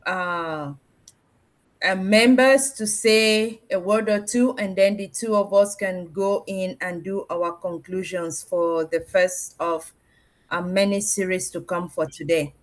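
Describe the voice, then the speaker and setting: A woman's voice: fairly low pitch, fairly even intonation, takes it slowly, rough recording. A woman casually moderating a discussion as co-host, in an appreciative, encouraging manner.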